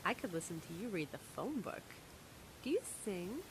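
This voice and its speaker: A woman's voice: fairly low pitch, very animated, moderate pace, background noise. An actress playing an eager, childlike young woman in a radio drama.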